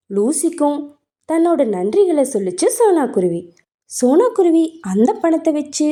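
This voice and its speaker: A woman's voice: high-pitched, dark tone. A woman narrating a children's cartoon story as a storyteller.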